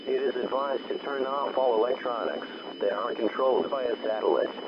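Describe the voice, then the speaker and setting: A man's voice: medium pitch, a little fast. A man reading a scripted emergency alert as an announcer. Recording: muffled alert broadcast with loud noise.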